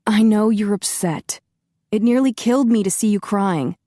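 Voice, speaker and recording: A woman narrator voicing a cocky immortal man who speaks calmly and teasingly. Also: medium pitch, normal speed, no room sound, pitched above the performer's own voice, close to an everyday voice. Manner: calm, dry and teasing.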